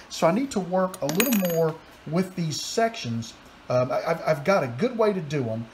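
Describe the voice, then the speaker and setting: Man, 40s, casual workshop vlog, fairly high voice, phone at arm's length, faint shop noise. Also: low-quality recording. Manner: relaxed, chatty, with many "uh"s and restarts. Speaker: woodworking and metalworking hobbyist. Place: home workshop garage. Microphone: phone camera held at arm's length.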